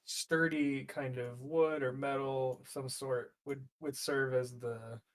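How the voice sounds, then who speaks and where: A man plays a tabletop role-playing game in casual conversation. His voice sits at an average pitch, and he explains things haltingly, feeling his way through each idea. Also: steady tone. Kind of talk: casual in-character game chat.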